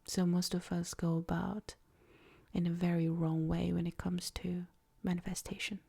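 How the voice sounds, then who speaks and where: A woman guiding a soft-spoken ASMR meditation in a breathy, near-whispered voice, with gentle, deliberate phrasing. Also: lowish voice. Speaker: ASMR creator and meditation guide.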